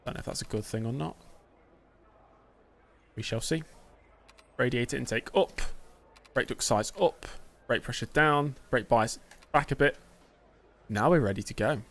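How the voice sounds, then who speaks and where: A man's voice: medium pitch, a little slow, long pauses, fairly bright tone. A young British man talking through a racing game live, chatty and self-mocking as he answers his chat. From Britain. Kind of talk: casual live-stream commentary.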